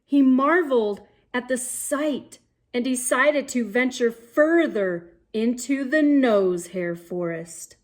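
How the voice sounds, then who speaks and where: A woman telling a children's story in an expressive read-aloud style, theatrical and playful, with dramatic pauses and emphasis. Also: fairly high pitch. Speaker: children's story reader, host.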